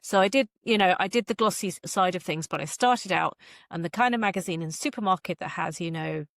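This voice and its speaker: A middle-aged woman chatting casually as an interview guest, animated and self-deprecating, thinking aloud in broken phrases. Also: fairly low pitch, fairly quick, no echo, clean studio sound. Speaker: guest author and former magazine journalist.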